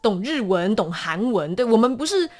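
A young woman with a Taiwanese Mandarin accent speaks in a fairly high voice. Her intonation swings widely as she explains things casually and with some self-deprecation. Faint music plays behind her. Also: moderate pace. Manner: animated, self-deprecating, acts out small examples. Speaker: interview guest, voice actor.

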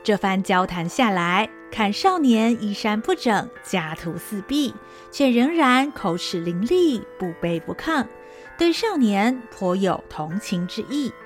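A woman narrating a historical radio drama in an expressive storytelling manner. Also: medium pitch, unhurried, soft background music.

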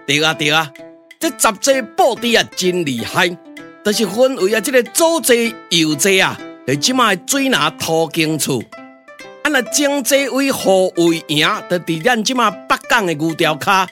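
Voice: medium pitch, very animated, normal speed, pushed and bright, soft background music. A performer with a Taiwanese accent voicing an emphatic, know-it-all pioneer soldier in a glove-puppet radio drama. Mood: explanatory, emphatic.

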